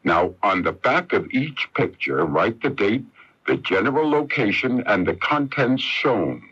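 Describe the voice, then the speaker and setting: A man with a husky voice reading a scripted radio consumer tip as an announcer. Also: lowish voice, big pitch swings, measured pace, fairly bright tone. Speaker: public service announcer. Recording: dull 1980s radio broadcast.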